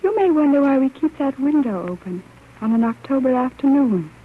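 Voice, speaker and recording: An actress playing a composed, solemn girl of about fifteen, polite and measured, in a 1930s radio drama. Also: sits high, close to an everyday voice. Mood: solemn, hushed. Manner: polite, measured, self-possessed. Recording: tinny, noisy old radio broadcast.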